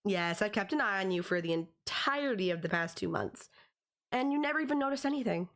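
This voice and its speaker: A woman's voice: mid-range voice, animated, brisk, fairly bright tone. A woman playing a possessive, teasing young stalker in an audio roleplay, talking straight to the listener in a conversational, condescending yet affectionate way. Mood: smug, teasing.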